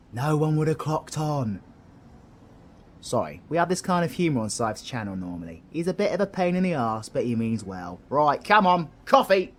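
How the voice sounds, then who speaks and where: A British man playing a cheeky, kind-hearted supervillain in an audio roleplay, chummy, slangy and reassuring, with a bit of mild swearing. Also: on the high side. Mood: upbeat, joking. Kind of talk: scripted audio roleplay addressed to the listener.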